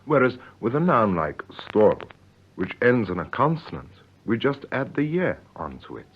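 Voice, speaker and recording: Male co-presenter for a 1950s language broadcast, deliberate, varied melody, dull old recording. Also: mid-range voice, sing-song, breathy edge. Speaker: learner and co-presenter. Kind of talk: scripted radio language lesson, 1950s broadcast.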